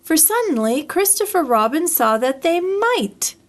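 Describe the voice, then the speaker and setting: A woman reading a children's story aloud in an expressive, deliberate storytelling style. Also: very high voice.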